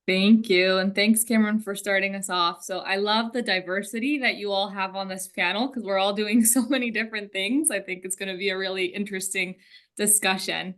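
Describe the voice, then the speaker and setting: A woman's voice: medium pitch, fairly even intonation, a little fast, a bit bright. Conversational woman from Colombia. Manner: conversational, storytelling with asides. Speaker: career panelist. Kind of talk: panel talk.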